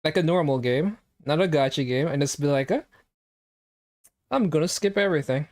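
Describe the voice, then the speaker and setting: A man's voice: fairly high pitch, lively intonation, moderate pace, a little mellow. A young man streaming a game, chatting casually and teasing his chat with jokes. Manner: joking, teasing, reacting to chat.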